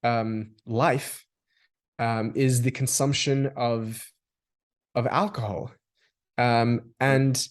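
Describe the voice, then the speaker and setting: A man's voice: mid-range voice, fairly even intonation, extremely slow, long pauses. A man from Australia talks in an ordinary middle register, in a thoughtful conversational tone. He circles back on his words, restarting phrases as he works toward each point. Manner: thoughtful, restarts and repeats words while building long sentences. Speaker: guest. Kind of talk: reflective conversational discussion.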